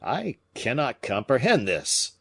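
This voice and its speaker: Brief: humble boatman, deferential, lively delivery, a male reader plays him. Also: medium pitch, slow, slightly rough, voice pushed up, heavily acted. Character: timid male river boat skipper.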